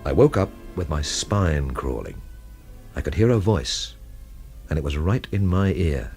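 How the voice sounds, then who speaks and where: A man's voice: rumbling low, sing-song, measured pace, a little mellow, soft background music, full character voice. An actor playing a tough, wry London man who narrates and investigates in a radio mystery drama, with a sardonic, blunt way of talking. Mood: brooding, measured.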